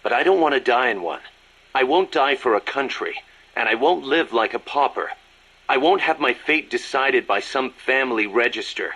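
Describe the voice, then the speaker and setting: Proud, world-weary ex-soldier. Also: male voice, mid-range voice, lively intonation, normal speed, sharp tone, breathy edge, heavily acted, rough recording. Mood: reflective, resolute. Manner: earnest, reflective storytelling. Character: proud, world-weary young male ex-soldier, loyal second-in-command. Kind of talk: video game audio drama acting.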